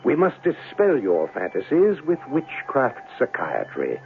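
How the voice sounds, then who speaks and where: An actor playing a suave, eccentric warlock in a 1970s radio drama, courteous and sly, with ornate theatrical phrasing. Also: male voice, mid-range voice, huge pitch swings, unhurried, gravelly, heavily acted. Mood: sly, soothing. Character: suave, eccentric male warlock and witch doctor. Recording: lo-fi, noisy old radio broadcast.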